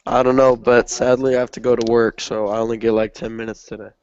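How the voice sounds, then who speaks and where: A man's voice: medium pitch, little pitch movement, quick pace, a little mellow, smooth, dull audio. A man co-hosting a casual skateboarding chat in an easygoing, laid-back way. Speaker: podcast co-host.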